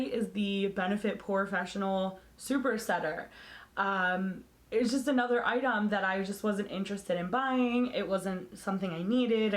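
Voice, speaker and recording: A woman beauty vlogger talking casually to camera, hoarse as she loses her voice, candid and blunt in her opinions. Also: medium pitch.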